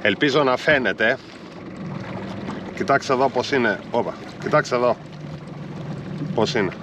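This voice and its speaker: A man in his thirties filming himself fishing from a small boat, explaining calmly to viewers what he is doing. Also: mid-range voice. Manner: calm, explanatory, talks while fishing. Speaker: fishing vlogger. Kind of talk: casual on-boat commentary to viewers. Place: small inflatable boat on open sea, outdoors. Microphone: action camera mounted on boat. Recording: outdoor boat noise. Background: boat and water noise.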